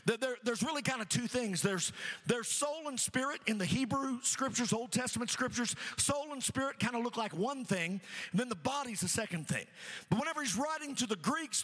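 Pastor guy preaching hard, really high voice, repeats stuff, keeps asking the church for amens. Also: fairly bright tone, in a large hall, rough recording. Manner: preachy, repeats phrases rhythmically, exhorts the crowd. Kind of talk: energetic sermon with call-and-response.

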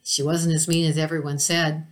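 A woman's voice: low-pitched, little pitch movement, normal speed. A woman telling a first-person ghost story in a conversational way, acting out the quoted speech as she reads. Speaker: reader of a listener's story. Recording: music behind the voice.

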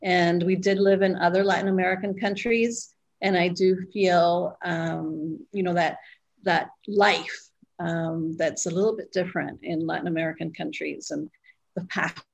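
A middle-aged woman guest, born in Costa Rica, telling her story in an interview with many pauses and fillers. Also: on the low side, steady tone, gravelly. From Costa Rica, raised abroad. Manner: reflective, pausing with fillers. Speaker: guest, retreat owner.

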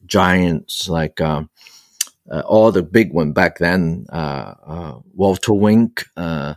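A man's voice: low-pitched, no echo, studio quality. A man in his sixties from Hong Kong tells his personal story as an interview guest, hesitating often as he searches for words. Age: 60s. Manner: halting, frequent long hesitations and repeated fillers while searching for words. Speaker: guest, priest and author.

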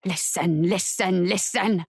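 A woman plays a predatory spirit from a dark audiobook, hissing and hungry. The words come low and insistent, like a repeated chant, in a very dry recording. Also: slow pace, husky, no room sound, full character voice.

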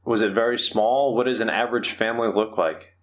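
A man's voice: on the low side, fairly even intonation, fairly quick. A man with an American accent hosting an interview podcast in a polite, orderly, explanatory way. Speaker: podcast host. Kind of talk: interview hosting, prepared introduction. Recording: slightly dull, lo-fi podcast audio.

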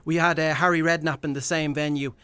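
A man's voice: fairly high pitch, little pitch movement, fairly quick, sharp tone, clear, resonant tone, no room sound. An Irish man talking casually as a guest in a radio interview. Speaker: guest, impressionist and event MC.

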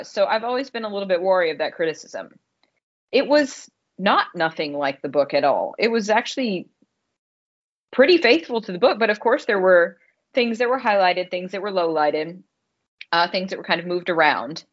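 Woman chatting about movies on a podcast, lowish voice, opinionated, sound a bit dull. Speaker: podcast co-host and film critic. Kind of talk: casual podcast film discussion.

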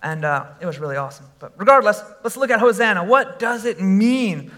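Male pastor, sermon, high-pitched, bright tone, animated, casual fillers. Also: fairly quick. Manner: animated, rising to shouts when exclaiming; conversational with fillers and asides.

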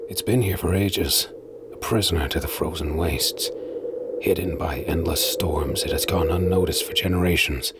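An actor playing a hardened pirate scavenger in an audio drama, narrating in a grim, measured first person. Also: male voice, sits low, heavy background noise, lightly acted. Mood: brooding. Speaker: narrator and lead character. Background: loud music.

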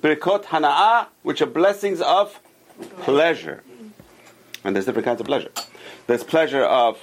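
A man leads a small class around a table, explaining rules slowly and repeating the key points so they sink in. His speaking voice sits a bit higher than most men's. He keeps checking in with his students by asking them questions. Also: slight background noise. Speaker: Torah teacher. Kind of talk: informal class lecture with group discussion.

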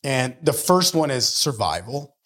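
A man's voice: mid-range voice, sing-song, unhurried, fairly bright tone. A man in his early forties talking conversationally as an interview guest, earnest and motivational, often checking in with "right?". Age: early 40s. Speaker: podcast guest, mortgage lender and business owner.

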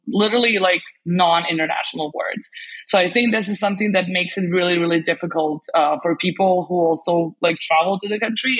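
A woman talks as a guest on an interview show, with a slightly husky, breathy edge to her voice. She rambles in a loose, chatty way, full of "like" and quick restarts. Her tone stays easygoing and conversational. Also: lowish voice, a bit bright, low-quality recording. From Serbia. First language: Serbian. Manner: chatty, many fillers and restarts, laughs often. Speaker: guest, language specialist. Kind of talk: casual interview chat.

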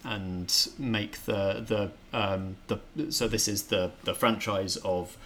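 Low-voiced man chatting about films. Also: steady tone, takes it slowly, fairly bright tone, a little hiss or hum. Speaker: host and film reviewer. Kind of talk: casual film review chat.

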